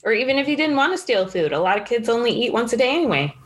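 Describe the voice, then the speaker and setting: A woman's voice: on the high side, sing-song, very fast, slight background noise. A woman co-hosting a podcast, giving casual opinions on a TV show in conversation. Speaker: podcast co-host. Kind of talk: casual chat recapping a TV show.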